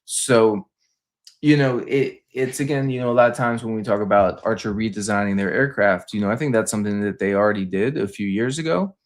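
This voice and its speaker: Male finance livestream pundit, lower register, unscripted, explanatory delivery with frequent stumbles and repetition. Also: brisk. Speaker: stock commentator on a livestream.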